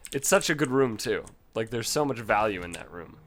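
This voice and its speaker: Animated man ranting over a game. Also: fairly low pitch, a little fast, a little hiss or hum. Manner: swears freely, yells in bursts of frustration. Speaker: gaming commentator. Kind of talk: casual let's play commentary.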